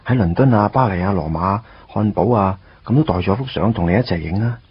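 An actor playing a shy, earnest teenage schoolboy in love, narrating and acting in a Hong Kong Cantonese radio drama. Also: male voice, fairly low pitch, fairly quick, slight background noise, close to an everyday voice. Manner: hesitant, tongue-tied, earnest. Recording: dull lo-fi radio drama audio. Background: guitar music.

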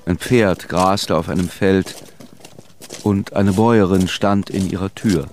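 An actor narrating a literary radio play as a solemn, measured storyteller. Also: male voice, low voice, fairly even intonation, a little mellow, slight background noise. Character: solemn storyteller recounting a deportation.

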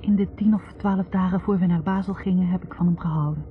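A woman's voice: lowish voice, steady tone, brisk, a little hiss or hum, lightly acted. An actress playing a self-assured, independent young woman recalling her love in a 1960s radio drama. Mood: wistful, content. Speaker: actor in a radio play. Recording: thin, phone-like 1960s radio recording.

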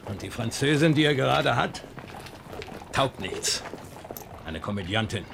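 Disapproving, loyal royal retainer. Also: male voice, mid-range voice, expressive, measured pace, vocal fry, noisy background. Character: disapproving old retainer mourning his late mistress. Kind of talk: German radio play acting. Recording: 1970s radio drama, faint outdoor ambience.

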